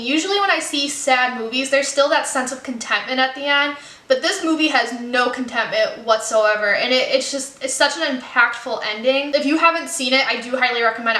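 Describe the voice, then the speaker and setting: A young American woman chatting casually to her channel, upbeat and quick to laugh. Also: a little high, sharp tone. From United States. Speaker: YouTube creator. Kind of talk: casual chatty video commentary. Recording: echoey room with faint background noise.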